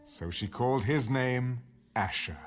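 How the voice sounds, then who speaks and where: A man narrating scripture from a script in a measured, solemn storytelling manner, as in a dramatized audio Bible. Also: mid-range voice, close to an everyday voice. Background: music.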